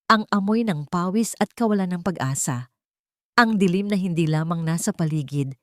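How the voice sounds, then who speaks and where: A woman's voice: lowish voice, a little mellow, no room sound, clean studio sound. A woman narrating a dramatic scripted story with solemn, emotive delivery.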